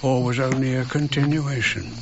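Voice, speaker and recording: An older actor playing a haunted old archaeologist, narrating his memories in measured, broken phrases for radio drama. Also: medium pitch, level tone, very noisy, natural voice. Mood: haunted, reflective. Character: old English archaeologist, haunted, wistfully recalling his past. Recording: dull radio recording, prominent music behind.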